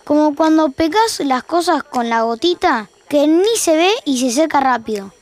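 Una actriz con acento rioplatense interpreta a una narradora que comenta con ironía las peleas de una familia en una ficción sonora. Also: female voice, sits high, expressive, normal speed. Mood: wry. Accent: Rioplatense Argentine. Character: wry female observer commenting on a family's quarrels. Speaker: narrator in an audio fiction. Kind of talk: wry commentary on the scene.

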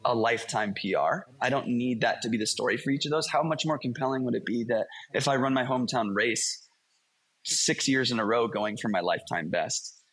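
A man talking casually as a podcast guest, animated and telling stories, quoting himself as he goes. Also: mid-range voice, brisk, breathy edge, rough recording. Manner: animated storyteller, quotes himself. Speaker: podcast guest, running YouTuber. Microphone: remote call.

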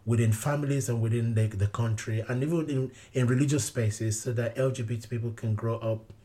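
A male pastor speaks in a mid-range voice. He talks in a measured, earnest way, weighing his words and often adding "you know" as he reflects in an interview. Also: medium pitch, steady tone, warm tone. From Nigeria.

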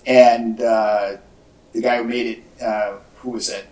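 A man talking casually as a podcast co-host, in a halting, conversational way. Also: mid-range voice, level tone, a little mellow. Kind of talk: casual chat about movie news. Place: indoor room with echo. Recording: echoey room, distant from mic.

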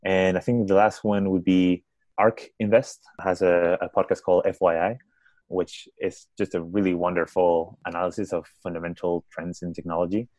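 Man, Spanish accent, very low voice, dry close sound, thoughtful, podcast guest. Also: flat delivery, normal speed, darkish tone, no room sound. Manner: thoughtful, restarts words often. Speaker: podcast guest, startup founder. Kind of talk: conversational interview answers.

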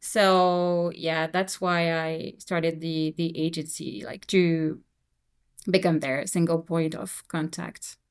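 A young woman whose first language is French, talking relaxed and casually as an interview guest, with many fillers. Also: sits low, slow, clean tone. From Belgium. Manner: relaxed, frequent fillers and "you know". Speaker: guest translator.

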